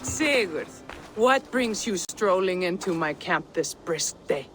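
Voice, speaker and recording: A slow-drawling, menacing female mercenary. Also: lowish voice, animated, a bit bright, faint music behind. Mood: teasing, sly. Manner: drawling, taunting. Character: sly, insolent female mercenary chief, menacing and taunting. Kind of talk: video game cutscene acting.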